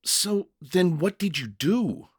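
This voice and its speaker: Man acting an earnest, bewildered jailer, wildly expressive. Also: high voice, slow, husky, dry recording, studio quality, pitched above the performer's own voice, heavily acted. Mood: baffled, questioning. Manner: stammering, blurting, dramatic. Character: young-hearted jailer narrator, bewildered, earnest, emotional father. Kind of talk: first-person dramatic story reading.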